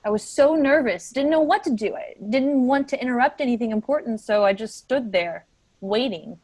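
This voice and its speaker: A woman's voice: a little high, normal speed, a little mellow, no echo, close to an everyday voice. A woman playing a sensitive, guilt-ridden and defensive office worker in a staged play reading. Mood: wistful.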